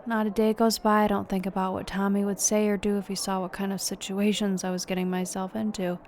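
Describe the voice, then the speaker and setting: An actress playing a candid, supportive friend in an audio drama, with a frank, conversational delivery. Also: female voice, mid-range voice, level tone, a little fast, darkish tone, slight background noise, natural voice. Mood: wistful. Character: candid, supportive female friend working in law enforcement.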